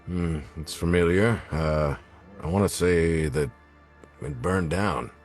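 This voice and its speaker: A man playing a folksy, gruff police chief with a prankish streak at a film script table read. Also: low-pitched, slow, soft background music. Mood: folksy, easygoing.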